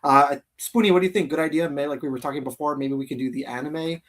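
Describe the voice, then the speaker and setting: A man hosting a geek livestream in casual chat, with an animated, informal way of coaxing his viewers. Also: on the high side, fast. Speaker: host of a geek livestream channel.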